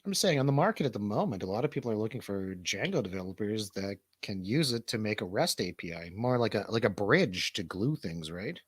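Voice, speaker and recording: Husky, informal man hosting a casual chat. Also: on the low side, sing-song, brisk, hardly any pauses, no echo, studio quality. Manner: teasing, informal bro slang. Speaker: stream host interviewing a friend. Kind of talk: casual chat, asking questions.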